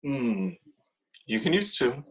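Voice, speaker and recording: A man's voice: mid-range voice, very animated, slow, a bit bright. A man playing a sardonic, judgmental young man who hides behind dry jokes, in a comedy table read. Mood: dry, judging. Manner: dry, sarcastic.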